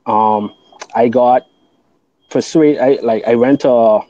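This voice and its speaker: A man from the Bahamas talks through his beliefs in a relaxed podcast chat, earnest and thorough, circling back and restarting as he thinks. His voice is dark and mellow. The recording is a little dull and lo-fi. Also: medium pitch, slow, dry recording. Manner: earnest, explains at length with restarts. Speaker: guest. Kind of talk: casual podcast conversation.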